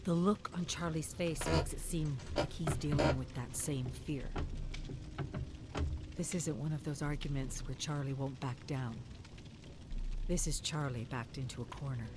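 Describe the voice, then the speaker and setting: A woman's voice: low voice, fairly even intonation, measured pace, heavy background noise. A woman narrator reading a scripted, dramatic story from a video game. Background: music.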